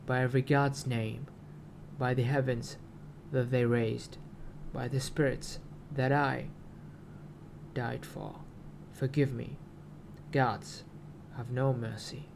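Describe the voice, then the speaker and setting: A solemn alien prophet, played by a man reading a story, proclaims doom in grandiose, archaic phrases. The speech is very slow and halting, with a creaky, gravelly edge. Some noise sits behind the voice. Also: medium pitch, flat delivery. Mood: grave, pleading.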